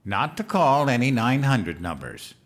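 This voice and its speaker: An actor playing a childlike, eager, guileless president-elect in a comedic audio drama. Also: male voice, mid-range voice, very animated, measured pace. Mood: naive, eager. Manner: guileless, simple-minded, earnest talk.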